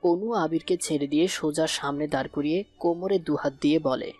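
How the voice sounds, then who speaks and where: A woman's voice: low-pitched, brisk, a little mellow, dry recording. A woman narrating a scripted romantic audio story. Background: faint background music.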